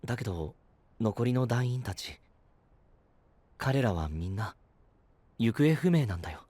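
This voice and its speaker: A male actor playing a gentle, responsible young knight in an audio drama, soft-spoken and earnest. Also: mid-range voice, lively intonation, measured pace, dry recording. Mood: calm, reflective. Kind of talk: Japanese anime-style audio drama acting.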